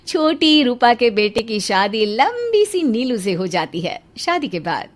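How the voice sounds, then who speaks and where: Woman storyteller, slightly high voice, scripted moral tale narration. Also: very animated, a little slow.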